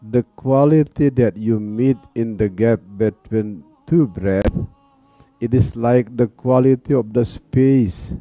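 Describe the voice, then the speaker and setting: A man teaching guided meditation in a calm manner, leaving long pauses between phrases. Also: mid-range voice, no room sound. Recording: dull, lo-fi sound. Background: soft music.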